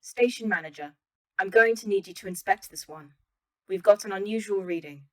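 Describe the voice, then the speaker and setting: Woman voicing female artificial intelligence, mid-range, calm, polite, formal, detached, sci-fi audio drama. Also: mid-range voice, a little fast, close to an everyday voice, low-quality recording.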